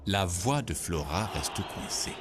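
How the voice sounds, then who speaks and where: A man narrating a scripted children's story in an expressive, theatrical way. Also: low-pitched, unhurried. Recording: music playing behind the voice.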